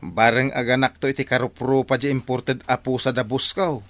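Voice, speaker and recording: A man's voice: mid-range voice, flat delivery, normal speed, a bit bright, no echo, close to an everyday voice. A man acting a put-upon, plaintive working man in an Ilocano radio drama, with an Ilocano accent and a musing manner. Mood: friendly.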